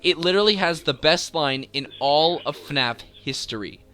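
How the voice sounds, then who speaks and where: A man's voice: a little high, expressive, moderate pace, a bit bright. A man hosting a video game top-ten countdown, speaking with emphatic, enthusiastic commentary and dramatic build-ups. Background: music.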